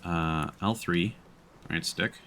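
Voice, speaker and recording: A man's voice: low-pitched, slow, fairly bright tone, a little hiss or hum. A man streaming a video game, giving casual live commentary as he plays.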